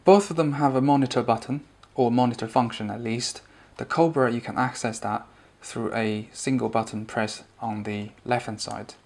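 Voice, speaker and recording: A man with a mid-pitched voice and a noticeable gravelly creak presents a product review. He explains and demonstrates in a conversational way, talking the listener through each point. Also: steady tone, moderate pace, few pauses, noisy background.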